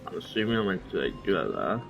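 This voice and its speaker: A man's voice: sits low, big pitch swings, quick pace, creaky, muffled recording. A man streaming a game, thinking aloud in a hesitant, muttering way as casual commentary. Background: game music.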